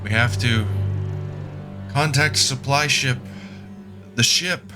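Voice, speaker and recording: A man's voice: mid-range voice, slow, over music, close to an everyday voice. A man acting in an audio drama as a badly injured, sardonic man struggling to speak through pain. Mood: pained, wry.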